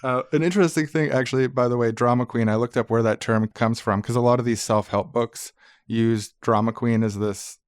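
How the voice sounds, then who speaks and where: A man's voice: medium pitch, steady tone, fairly quick, dry recording. Man chatting casually in a dry-sounding room. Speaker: podcast co-host. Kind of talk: casual conversational discussion.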